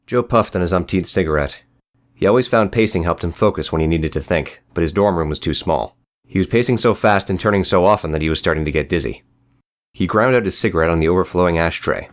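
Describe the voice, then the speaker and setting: A man's voice: deep voice, quick pace. A man reading scripted fiction as an audiobook narrator.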